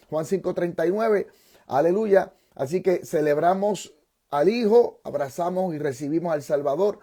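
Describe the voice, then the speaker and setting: A man's voice: high voice, dark tone. A man who preaches fervently with repeated praise phrases, then hosts an interview as a pastor.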